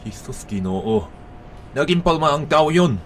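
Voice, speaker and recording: A man playing a startled, alarmed witness in an animated horror story. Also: fairly high pitch, unhurried, a little hiss or hum. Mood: alarmed, disbelieving.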